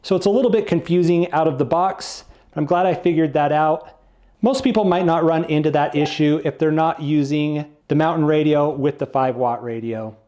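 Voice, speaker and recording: A man's voice: on the high side, normal speed, warm tone. A man giving a casual product review, explaining things in a plain-spoken, non-technical way.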